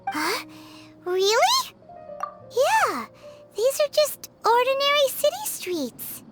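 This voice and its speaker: A woman voicing a tiny, excitable floating fairy companion in an anime video game, childlike and talking about herself in the third person. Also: very high voice, takes it slowly, loud music behind the voice, exaggerated voice. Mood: curious, musing. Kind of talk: English-dubbed anime video game acting.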